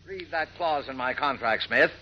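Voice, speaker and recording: A man's voice: mid-range voice, moderate pace, highs cut off, close to an everyday voice. A man acting a hot-tempered, middle-aged newspaper editor in a brash, combative 1940s radio style. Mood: combative, indignant. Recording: vintage radio recording.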